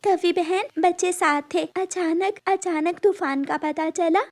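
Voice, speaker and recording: A woman voicing a gentle, earnest mother bird in a cartoon, speaking politely and pleadingly. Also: very high voice, fairly even intonation, measured pace.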